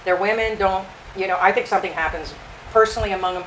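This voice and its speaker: An older woman from the United States, co-hosting a casual chat and jumping quickly from point to point. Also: mid-range voice, fairly bright tone, a little hiss or hum, rough recording. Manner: chatty, talks over her partner, jumps between points. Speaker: co-host.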